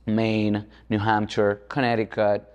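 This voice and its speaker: Male architect guest from the Dominican Republic, lowish voice, informal interview talk, long reverb. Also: monotone, a little slow, darkish tone, clear, resonant tone. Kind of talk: conversational interview. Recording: echoey hall-like reverb.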